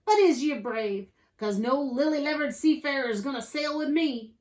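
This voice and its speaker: A woman voicing a gruff, short-tempered male pirate captain in a children's storybook, bellowing in folksy pirate slang. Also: high-pitched, lively intonation, moderate pace, darkish tone, voice pushed up. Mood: demanding, boastful.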